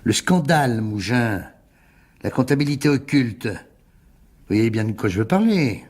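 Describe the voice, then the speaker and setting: A man's voice: medium pitch, expressive, a little slow, darkish tone. An actor playing a wily middle-aged businessman in a radio mystery play, cowardly at heart, unctuous, condescending and theatrical. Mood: smug, menacing. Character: wily older businessman, cowardly then smugly blackmailing.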